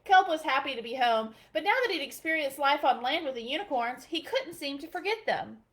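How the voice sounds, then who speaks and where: A woman, a children's librarian, reading a picture book aloud at bedtime in a gentle, expressive storytelling manner. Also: high-pitched, brisk.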